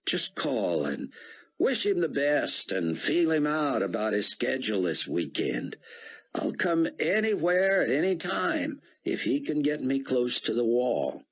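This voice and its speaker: A man's voice: mid-range voice, wildly swooping pitch, unhurried, fairly bright tone, slightly rough, voice pushed up, exaggerated voice. A man doing an eager young journalist. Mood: pleading. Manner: earnest, respectful.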